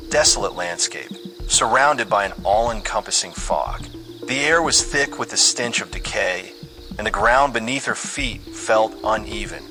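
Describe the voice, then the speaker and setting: A man's voice: lowish voice, rough recording. A man reading a scripted horror story with dramatic, suspenseful delivery. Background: music under the voice.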